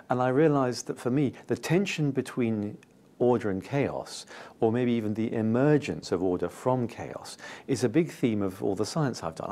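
A British man in his fifties explaining as an interview guest, enthusiastic and emphatic, with halting phrasing. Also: mid-range voice, gravelly, mellow. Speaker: interview guest, scientist and author. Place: indoor TV-style studio.

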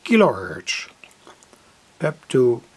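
A middle-aged man with a gravelly, husky voice giving an informal, halting technical explanation as a hobbyist presenter. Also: medium pitch, wildly swooping pitch. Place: indoor home electronics workbench.

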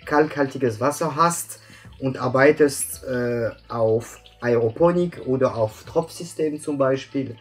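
A man's voice: medium pitch, slow pace, slightly rough, faint music behind. A man in his thirties from France, speaking German with a French accent as an invited expert, explaining patiently with many hesitations and fillers. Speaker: guest expert. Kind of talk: explanatory interview chat. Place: bright office room with plants.

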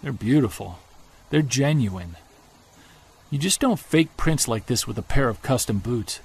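An actor playing a Bigfoot hunter on an expedition, talking low and excited into his field recorder, with faint outdoor sound behind him. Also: unhurried, gravelly, husky, heavily acted. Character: obsessed male Bigfoot hunter alone in woods. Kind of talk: audio drama field-recorder monologue. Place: woods at night and day.

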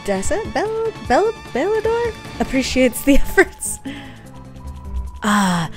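Giggly woman with animated pitch. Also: moderate pace. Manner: giggly, excitable. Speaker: gaming youtuber. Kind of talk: casual let's play commentary. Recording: game music faint underneath.

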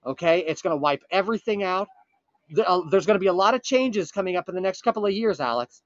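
Man playing a frantic high-pitched time traveler. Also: expressive, no room sound, dull audio. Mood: grave warning. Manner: urgent, pleading, halting with dramatic pauses. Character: frantic, earnest male time traveler from the far future. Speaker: prank caller. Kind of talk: improvised comic prank phone call.

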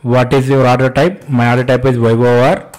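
A man with an Indian accent giving an off-the-cuff software tutorial as an instructor, repeating himself and posing short questions that he then answers. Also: medium pitch, little pitch movement, fairly quick, rough recording. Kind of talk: step-by-step screen-share tutorial, spoken off the cuff.

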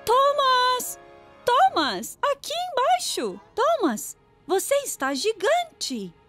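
A woman voicing an anxious, excitable female railway vehicle in a children's cartoon, with a Brazilian accent and a worried, exclamatory delivery. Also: extremely high pitch, slow pace, loud music behind the voice, heavily acted. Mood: amazed. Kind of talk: animated children's cartoon dialogue.

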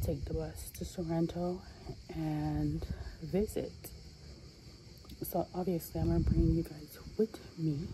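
A young woman talking casually to her phone camera at arm's length outdoors, chatty and saying y'all a lot, with a voice that is going hoarse. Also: sits low, warm tone, a little hiss or hum, poor audio. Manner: chatty, says y'all and like often. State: losing her voice. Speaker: travel vlogger. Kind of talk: casual vlog chat to camera. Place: outdoors, sunny hillside villa terrace. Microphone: phone camera held at arm's length.

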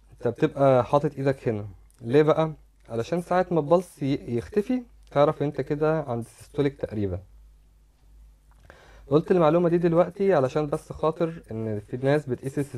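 A man's voice: medium pitch, warm tone. A man with an Egyptian Arabic accent giving a medical lecture over slides, explaining step by step and repeating words as he demonstrates.

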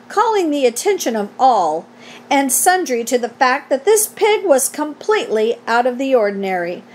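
Woman putting on a pompous, high-pitched fairground announcer. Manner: pompous, formal, grandly declamatory. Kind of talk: storybook read aloud.

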